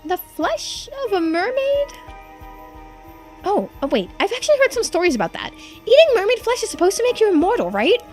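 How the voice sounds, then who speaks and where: Woman plays trusting, easily astonished teen boy for a visual novel; animated, casual, exclamatory delivery. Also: very high-pitched, moderate pace, faint music behind, voice pushed up. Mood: amazed. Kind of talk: voiced visual novel playthrough.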